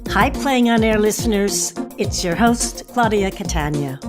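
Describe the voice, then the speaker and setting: A woman's voice: medium pitch, expressive, unhurried. A woman hosting a podcast, reading a scripted introduction. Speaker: podcast host. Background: music.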